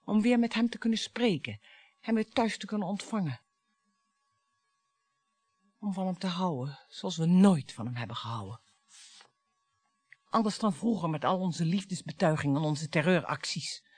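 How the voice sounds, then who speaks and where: A woman's voice: fairly low pitch, animated, moderate pace, pauses often, breathy edge, dry recording, faint music behind. An actress in a radio play playing a bitter, taunting middle-aged wife and mother, sarcastic and dramatic. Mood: combative. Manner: sarcastic, dramatic, swinging between hushed and biting.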